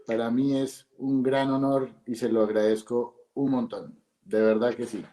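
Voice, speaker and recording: A man's voice: mid-range voice, fairly even intonation, a little slow, dark tone, roomy sound, poor audio. A male host speaking effusively and admiringly to his guest, with hesitant restarts. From Italy. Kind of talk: casual interview.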